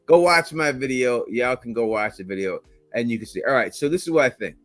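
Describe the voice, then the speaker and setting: A man's voice: mid-range voice, lively intonation, a little fast, no echo, faint music behind. A man co-hosting a casual podcast, talking emphatically and repeating himself as he pushes his theories. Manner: emphatic, repetitive, insistent theorizing. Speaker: co-host breaking down a trailer.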